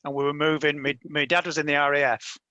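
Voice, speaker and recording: A man's voice: a little high, little pitch movement, fast talker, pushed and bright, no room sound, dull audio. Chatty Yorkshire man in his fifties. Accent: light Yorkshire. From Yorkshire, England. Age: 50s. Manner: chatty, rambling storyteller with frequent restarts. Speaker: panel guest, comic creator. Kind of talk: casual panel chat.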